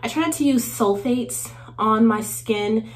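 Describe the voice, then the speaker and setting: A woman's voice: fairly high pitch, measured pace. Chatty woman vlogger with a cold-nasal voice. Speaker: beauty vlogger host. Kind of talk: casual get-ready-with-me chat. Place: small indoor bathroom.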